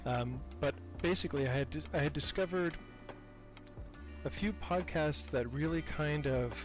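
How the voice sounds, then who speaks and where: An older man with a somewhat high voice talks as a guest on a podcast. He is chatty and pokes fun at himself in a casual way. Music plays clearly behind him. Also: moderate pace. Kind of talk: casual interview chat.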